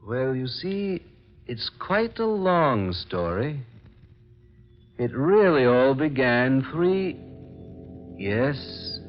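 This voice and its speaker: Mysterious gentleman, formal and coldly polite, very slow delivery, vintage 1940s horror radio with dulled sound. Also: mid-range voice, sing-song, faint music behind. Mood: brooding, reflective. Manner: measured, formal, suave. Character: mysterious masked gentleman, icily polite, quietly menacing wronged husband. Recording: muffled 1940s radio recording.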